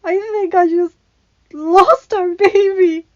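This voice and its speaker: A woman voicing a tender, grieving young wife in an emotional, breathy, sobbing delivery for a story reading. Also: very high voice, fairly even intonation, slow pace, darkish tone, highs cut off, pitched above the performer's own voice. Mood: sobbing, guilty.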